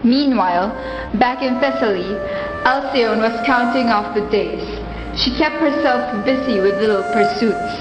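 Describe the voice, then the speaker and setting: Woman narrator, fairly high voice, formal dramatic storytelling, echoey hall, music behind. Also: a little slow. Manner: formal, literary delivery.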